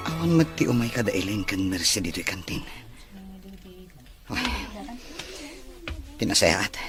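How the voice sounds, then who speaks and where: A man playing a grown son in an Ilocano radio drama, exclamatory and easily astonished, with drawn-out delivery. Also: lowish voice. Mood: searching, musing.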